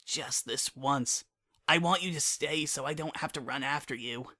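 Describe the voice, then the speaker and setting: A man's voice: medium pitch, normal speed, bright, forceful tone, voice pushed up. A man voicing a brash young female pegasus pony, desperate and grieving, in a dramatic story reading. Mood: grieving, pleading. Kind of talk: dramatic fan fiction reading.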